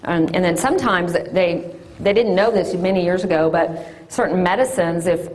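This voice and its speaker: A woman, an orthodontist giving a loud, lively classroom talk to children. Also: fairly low pitch, normal speed, poor audio. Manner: loud and lively, sing-song call-and-response.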